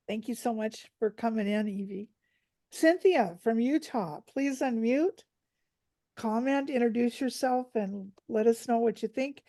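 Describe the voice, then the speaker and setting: A woman's voice: a little high, measured pace, warm tone. Cheerful woman hosting a video call. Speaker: event host. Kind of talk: moderating a video call event.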